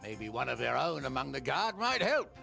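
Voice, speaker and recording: An actor playing a blustery, hearty middle-aged nobleman in a medieval video game, with a gravelly, booming, deliberate delivery. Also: very animated, bright, forceful tone, faint music behind, exaggerated voice. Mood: gruffly genial. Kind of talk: video game drama acting.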